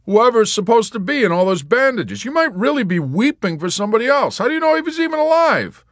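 A man voicing a sarcastic young soldier in a hospital bed who needles everyone with loud, mocking questions. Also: extremely high pitch, big pitch swings, fast, no echo, studio quality, pitched above the performer's own voice. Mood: needling.

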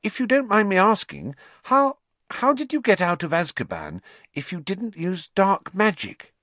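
A man's voice: high voice, sing-song, measured pace, bright, forceful tone, no room sound, pitched above the performer's own voice, heavily acted. A man doing timid schoolgirl Hermione. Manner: timid, hesitant, polite. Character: timid, polite teenage schoolgirl witch.

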